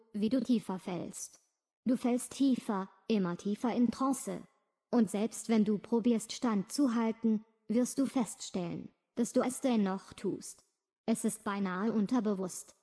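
A woman reading a slow, soothing, repetitive guided hypnosis script. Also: mid-range voice, dark tone. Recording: long reverb.

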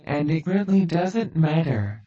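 A narrator reading a hypnosis script with slow, soothing, repetitive suggestions. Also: mid-range voice, big pitch swings, warm tone. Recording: distant, dull lo-fi sound.

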